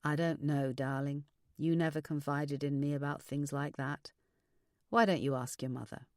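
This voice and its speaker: A woman voicing a kindly older father who gives measured, reassuring, philosophical advice in an audiobook. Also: low voice, lively intonation, dry recording, clean studio sound. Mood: gentle concern. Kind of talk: audiobook character dialogue.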